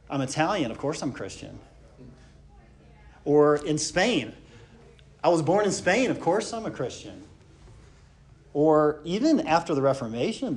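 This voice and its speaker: A man teaches church history in an unscripted, conversational way. His pitch sits in a middle range. He often stops on fillers and restarts, and he asks the class rhetorical questions. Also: darkish tone.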